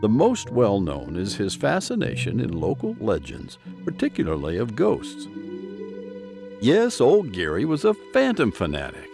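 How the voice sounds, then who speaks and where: A man narrates an audio story in a theatrical, folksy manner. His mid-pitched voice is creaky and extremely expressive, rising and falling widely over a light music bed. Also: measured pace. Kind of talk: scripted storybook-style narration.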